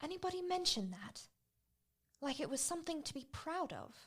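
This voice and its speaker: A woman playing a sarcastic, short-tempered female prisoner in a tabletop roleplay, speaking in sardonic, deliberate phrases. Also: high voice, very animated.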